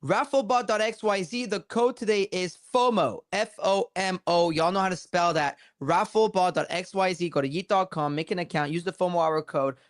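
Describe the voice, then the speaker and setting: A man hosting a casual crypto podcast, talking in loud, swearing, hyped banter with his co-hosts and guest. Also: high voice, moderate pace, few pauses, no echo, clean studio sound. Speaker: podcast host. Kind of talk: casual crypto show chat.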